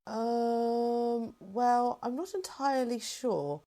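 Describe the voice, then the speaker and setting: A woman's voice: on the high side, slow pace, mellow. A woman playing a composed, reassuring and formal rebel general in a fan-made sci-fi roleplay.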